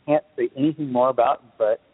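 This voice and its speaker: A man's voice: mid-range voice, fairly even intonation, quick pace, slightly rough, no echo. A man speaking casually as a guest on a podcast interview. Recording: dry, phone-like sound.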